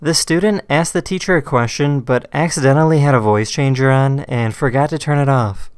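A man's voice: mid-range voice, a little fast, clean tone, no room sound, clean studio sound. A man hosting and narrating a compilation of short video clips in a scripted style.

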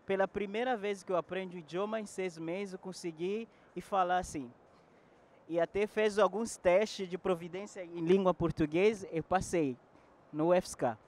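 A man's voice: high voice, fairly even intonation, moderate pace, a little mellow. A man in his thirties whose first language is French, speaking earnestly into a handheld mic at a panel in a large event hall, sometimes searching for words. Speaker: panel guest. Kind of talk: casual talk at a public panel.

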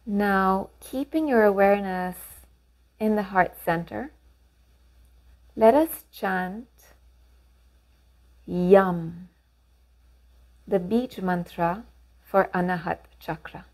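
A woman leading a guided meditation, giving gentle, measured instructions with pauses between phrases. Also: mid-range voice.

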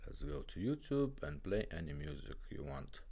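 A man's voice: a bass voice, very animated, moderate pace, mellow. A man giving a casual, informal product demonstration in non-native English phrasing. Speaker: presenter demonstrating restored car radios.

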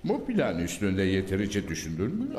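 A dirt-blob creature voices his doubts with hesitant, excessive politeness. His pitch swoops widely. The recording has a long, echoey reverb. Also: huge pitch swings, normal speed, darkish tone, slight background noise. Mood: polite.

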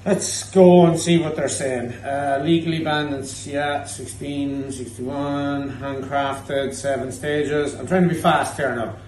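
Irish man from Cork in his thirties, cheeky bar-room host, slightly high voice, roomy pub sound with faint background noise. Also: fairly even intonation, slow, hardly any pauses, darkish tone, recorded from a distance. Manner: cheeky, teasing, swears freely. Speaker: host of a pub tasting show. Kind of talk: casual banter while tasting drinks.

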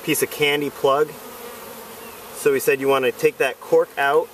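Man, unsure, talking while working outdoors, slightly high voice, a bit off-mic, buzzing bees behind. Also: flat delivery, moderate pace, a little hiss or hum. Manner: hesitant, unsure, self-deprecating. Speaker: first-time beekeeper. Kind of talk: casual how-to narration while working. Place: outdoors in a leafy yard. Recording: outdoor camera audio with buzzing bees, from a distance.

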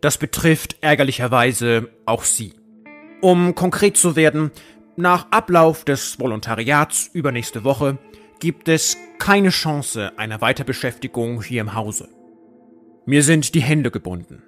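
A man voicing a weary, evasive newspaper editor-in-chief in an audio drama, speaking formally and haltingly with regret. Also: medium pitch, lively intonation, fairly bright tone, faint music behind. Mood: regretful, firm.

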